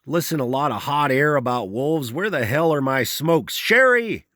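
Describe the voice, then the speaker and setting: A man voicing a loud, hot-tempered, hungry male ranch worker who shouts and threatens crudely. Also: mid-range voice, normal speed, no echo, clean studio sound, pitched above the performer's own voice, natural voice. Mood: angry.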